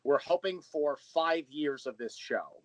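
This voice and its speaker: A man's voice: on the high side, expressive, unhurried, dry recording, highs cut off. A man speaking as an interview guest, explaining things in detail in a conversational way. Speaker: interview guest, audio drama creator.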